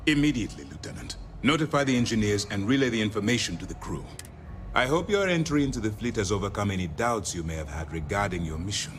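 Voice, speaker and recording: A man's voice: on the low side, expressive, fast talker, slight background noise, natural voice. A man playing a stern, formal space navy commander giving orders in a scripted video game. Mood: brisk, commanding. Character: stern, authoritative male space navy commander briefing an agent.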